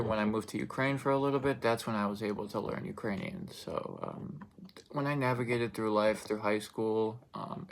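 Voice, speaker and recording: A man's voice: on the low side, vocal fry. A young man whose first language is Russian, giving an informative speech in a loose, rambling way full of fillers and restarts. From Russian-speaking country. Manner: loose, filler-heavy delivery with many restarts.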